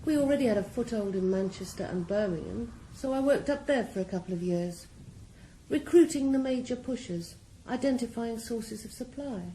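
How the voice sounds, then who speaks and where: A woman's voice: a little high, dark tone. An actress in a radio drama playing a ruthless female drug boss, cool, composed and mocking. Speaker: criminal mastermind. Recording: roomy echo, faint background hiss.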